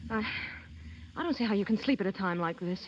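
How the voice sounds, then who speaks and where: An actress playing an indignant, sharp-tongued young woman with a sceptical edge, in 1930s radio drama style. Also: medium pitch, animated, quick pace, natural voice. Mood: uneasy, cross. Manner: tart, sceptical. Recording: muffled 1930s radio broadcast.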